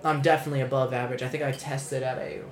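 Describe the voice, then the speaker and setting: A man's voice: mid-range voice, flat delivery, very fast, echoey room, faint music behind. A young man, talking candidly and rambling as a podcast host, with lots of restarts and swearing. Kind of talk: casual podcast chat.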